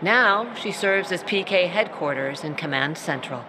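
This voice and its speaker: Woman, blunt military officer, brisk, noisy background. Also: fairly low pitch, expressive. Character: tough female soldier, blunt and proud. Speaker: military officer briefing a newcomer. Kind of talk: video game cutscene acting.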